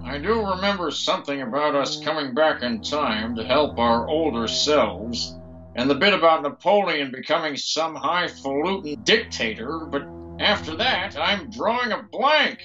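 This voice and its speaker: Wary male adventurer, acted by a man: folksy, raised pitch, audio drama with clear music underneath. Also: expressive, measured pace, lo-fi sound. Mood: wary, indignant.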